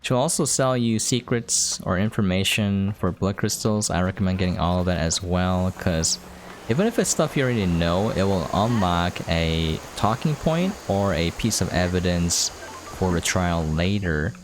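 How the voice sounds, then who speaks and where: Low-voiced man casually commenting on a game. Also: expressive, moderate pace. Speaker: gaming video host. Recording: clear background noise.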